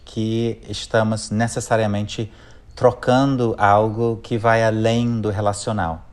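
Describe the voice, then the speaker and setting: Low-voiced English man, thoughtful and measured. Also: a little mellow. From England. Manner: thoughtful, measured, pauses and restarts mid-phrase. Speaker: guest, conflict mediator. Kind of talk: reflective interview answers.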